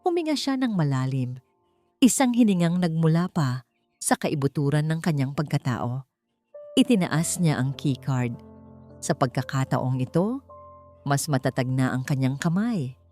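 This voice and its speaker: A woman with a Filipino accent narrating a dramatic story in a suspenseful, deliberate way with pauses. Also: low voice, animated, mellow. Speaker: audiobook narrator. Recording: music bed under narration. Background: background music.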